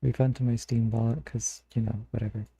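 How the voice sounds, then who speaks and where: A man's voice: medium pitch, flat delivery, a little fast, mellow, slightly rough, no room sound. A man streaming live, chatting casually and thinking aloud in a rambling way into a close mic. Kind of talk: casual live-stream chat while browsing games.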